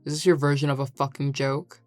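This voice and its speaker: A woman's voice: rumbling low, flat delivery, brisk, a little mellow, no room sound, faint music behind. A woman playing a grumpy, defensive tsundere male bar worker, curt and irritated, in an audio roleplay. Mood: defensive, annoyed.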